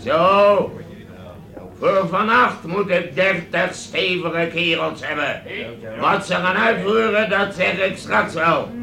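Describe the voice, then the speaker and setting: An actor playing a gruff, bossy medieval vagabond chief in a radio drama, with an echoey room and music behind. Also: male voice, sits high, slow pace, crowd noise, full character voice. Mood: commanding. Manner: gruff, commanding. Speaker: gang leader.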